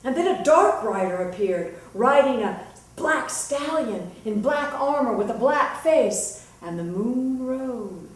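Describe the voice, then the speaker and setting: A woman storyteller narrating a folktale dramatically, with suspenseful, deliberate phrasing. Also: a little high, animated. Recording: very echoey room, distant mic.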